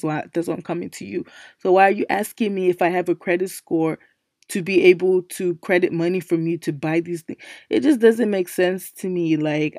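A young woman talks through her solo podcast in a deep, low register, her voice still worn and hoarse from losing it days ago. She is chatty and emphatic, circling back to restate each point. The sound is close and dry, with no room around it. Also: warm tone, no room sound. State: recovering from a lost voice, speaking low. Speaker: podcast host. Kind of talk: casual solo podcast chat.

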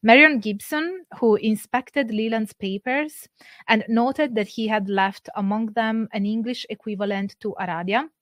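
A woman talks through scholarly sources in a careful, measured lecture. Her voice sits in a middling range, neither high nor low. The sound is dry, with almost no room around it. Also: dry recording. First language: Italian. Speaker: presenter. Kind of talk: academic lecture.

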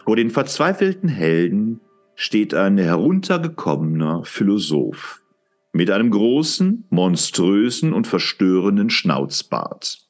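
A man's voice: on the low side, very animated, a little slow, soft background music. A man narrating a scripted audio drama in a dramatic storytelling style.

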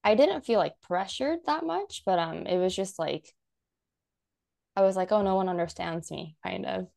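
Young woman guest, casual rambling talker, some vocal fry. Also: lowish voice, expressive, moderate pace, darkish tone. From United States. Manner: rambling, many "like" fillers, frequent laughs. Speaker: guest content creator. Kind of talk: casual chat.

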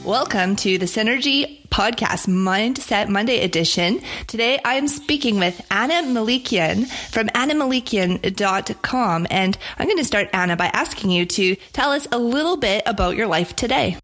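A woman's voice: medium pitch, animated, moderate pace, few pauses, a bit bright, dry recording. Upbeat podcast host woman over music. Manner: smooth, upbeat, read-aloud delivery. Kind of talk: scripted podcast intro and interview.

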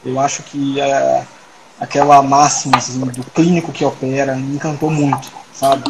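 A man, chatting as a guest surgeon in a relaxed interview over a video call. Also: mid-range voice, normal speed. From Salvador, Bahia, Brazil. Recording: noisy home video call audio.

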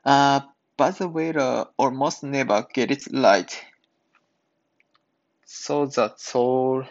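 A man speaks very slowly in a gravelly, creaky voice. He sounds like a careful, halting English learner doing reading practice for a podcast. The recording is dull and lo-fi. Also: mid-range voice. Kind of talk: reading aloud practice and simple podcast talk.

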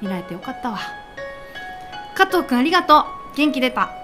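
A woman's voice: high-pitched, very animated, moderate pace, music in the background. A woman playing a drunk, heartbroken woman in her thirties, a brash senior colleague with blunt, dramatic grumbling, in an amateur radio drama. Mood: wistful, brightening.

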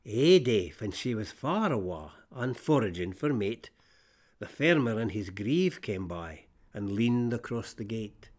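Animated Scottish storyteller reading verse. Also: male voice, on the low side, a little slow. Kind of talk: expressive reading of rhyming fables in Scots.

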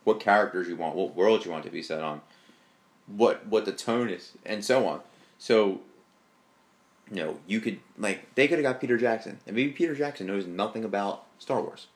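A man co-hosting a podcast, chatting casually and enthusiastically about films, with a deep voice and lots of fillers. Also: normal speed. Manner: rambling, enthusiastic fan talk with fillers. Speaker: podcast co-host. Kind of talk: casual chat.

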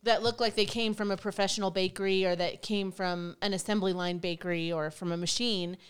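A woman's voice: mid-range voice, fairly even intonation, fairly quick, clean tone. A woman co-hosting a casual chat, talking in a rambling way with many fillers.

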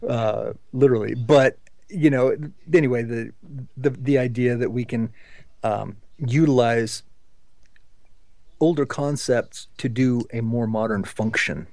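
A man's voice: medium pitch, measured pace, gravelly, a little mellow, dry recording. A man hosting a podcast discussion in an informal, chatty way, with some enthusiasm for the subject. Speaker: podcast host.

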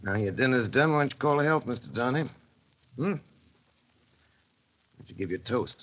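An actor playing a dissolute, bitter, hard-drinking gentleman in an old radio drama, with a slurred, rambling, sardonic drawl. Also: mid-range voice, expressive, moderate pace, close to an everyday voice. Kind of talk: 1930s radio drama acting. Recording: muffled old radio broadcast.

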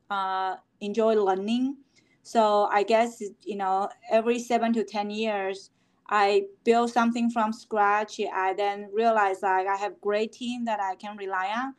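Woman, halting interview answers. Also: mid-range voice, smooth. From Taiwan. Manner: halting, with many fillers and restarts. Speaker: company founder, guest.

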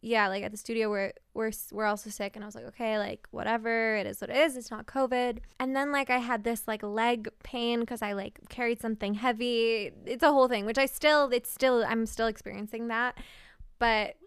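A woman's voice: fairly high pitch, fairly even intonation, brisk, clear, resonant tone, no echo, clean studio sound. A young woman co-hosting a podcast, telling a rambling story in casual chat, full of fillers and laughs. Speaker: podcast co-host.

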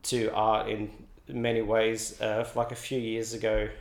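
A man's voice: fairly low pitch, completely flat delivery, unhurried, creaky. A young Australian man, an artist, chatting in an interview in a loose, rambling way full of "like" and restarts. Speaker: artist guest. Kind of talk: casual interview. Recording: roomy echo.

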